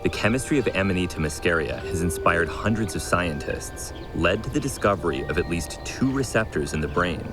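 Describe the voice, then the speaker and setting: A man's voice: low-pitched, expressive, brisk, hardly any pauses, over music. A man delivering scripted documentary narration.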